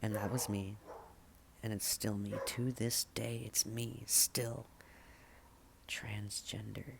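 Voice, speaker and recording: A man performing spoken-word slam poetry in a halting manner, with dramatic pauses, repeated phrases and hushed endings. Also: lowish voice, level tone, gravelly, background noise. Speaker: slam poet.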